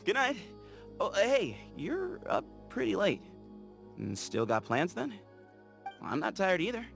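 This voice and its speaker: An actor voicing an easygoing young desert scout in a game, talking in an upbeat, playful way. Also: male voice, sits high, wildly swooping pitch, measured pace, gravelly, fairly bright tone, soft background music, exaggerated voice. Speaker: game character. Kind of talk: game voice lines.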